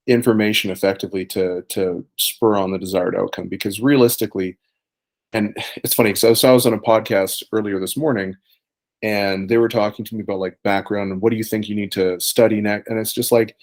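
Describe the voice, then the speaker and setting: A man hosting a fitness podcast, a coach himself, who talks to his guest in an eager, casual way. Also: fairly low pitch. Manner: enthusiastic, conversational, says "like" and "you know".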